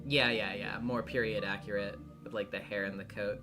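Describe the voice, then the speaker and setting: A man hosting a casual livestream, talking in a halting way and often trailing off mid-thought. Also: on the low side, fairly even intonation. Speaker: streamer and voice actor hosting a visual novel readthrough. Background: background music.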